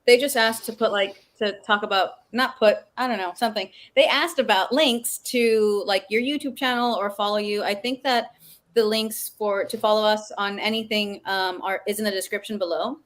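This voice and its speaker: A woman's voice: medium pitch, steady tone, moderate pace, fairly bright tone. A woman talking casually on a livestream video call, with loose, slangy, informal phrasing. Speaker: actor, interview guest.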